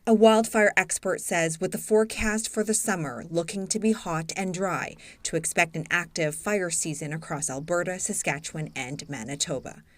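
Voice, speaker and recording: A woman reports the news. Her pitch is fairly low and she reads a scripted voice-over. The sound is dry, with no room around it. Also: normal speed, few pauses, no echo.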